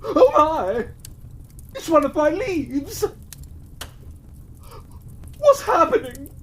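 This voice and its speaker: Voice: sits high, big pitch swings, very slow, slight background noise, voice pushed up, heavily acted. A storyteller voicing a vain, dramatic blossom tree in a children's fairytale, theatrical and gushing. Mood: alarmed.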